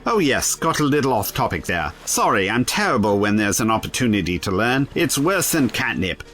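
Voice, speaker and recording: An actor playing an eccentric, bookish time-traveller in an audio drama, witty and defiant, with a wry, articulate way of talking. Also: male voice, mid-range voice, sing-song, fairly quick, fairly bright tone, faint music behind. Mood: excited, rambling.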